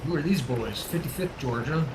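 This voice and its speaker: A man's voice: fairly high pitch, lively intonation, normal speed. A man, the guest who made the mod, chatting casually during a multiplayer game, reacting aloud and sometimes hesitating. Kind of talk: casual multiplayer gameplay chat. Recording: noisy game audio behind.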